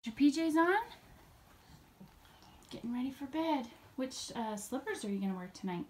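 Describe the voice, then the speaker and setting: A woman's voice: high voice, animated, moderate pace. An American woman in her thirties chatting casually as a mother filming a family vlog on a handheld phone at home.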